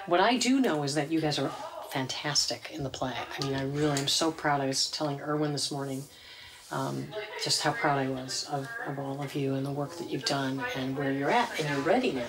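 A woman's voice: extremely low pitch, fairly quick, poor audio. A middle-aged woman speaking encouragingly as a theatre director to her actors in a small room. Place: small furnished green room.

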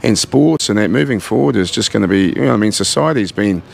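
Gravelly, earnest New Zealand man. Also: lowish voice, lively intonation, a little hiss or hum. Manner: earnest, halting, many fillers and restarts. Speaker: gym owner. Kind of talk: casual interview. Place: large indoor martial arts gym. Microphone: handheld mic.

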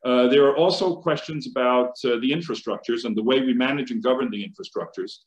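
Middle-aged American professor, halting panel delivery, average pitch, echoey call audio. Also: male voice, highs cut off. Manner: hesitant, many fillers and restarts. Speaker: panelist, chemical engineering professor. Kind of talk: conference panel remarks. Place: home office. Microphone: video call. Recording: roomy video call audio.

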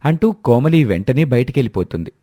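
A man's voice: mid-range voice, sing-song, fairly quick, dark tone, dry recording. A man narrating a scripted story as its storyteller.

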